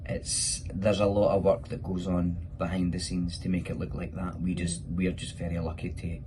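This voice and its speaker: Low-voiced Scottish man, informal. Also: little pitch movement, moderate pace, noisy background. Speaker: pantomime actor. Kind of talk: casual spoken question-and-answer for children.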